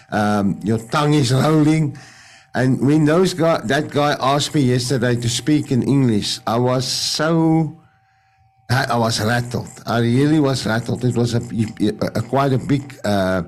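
A man with an Afrikaans accent whose first language is Afrikaans, giving a faith testimony on a video call and often stopping to search for English words. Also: mid-range voice. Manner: halting, searching for English words, many restarts. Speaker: radio presenter sharing testimony.

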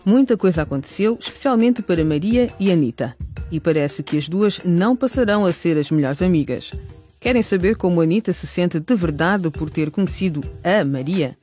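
A man's voice: high-pitched, animated, normal speed, a little mellow, soft background music. A man reading scripted narration as the narrator of a radio drama series.

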